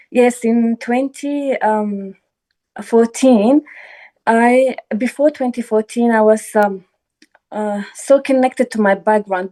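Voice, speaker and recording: A woman from Iraq tells her personal story as a guest on a live online call. She speaks in an earnest, heartfelt way. Her voice is fairly high, but its tone is soft and mellow. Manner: earnest, emotional. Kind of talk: heartfelt testimony.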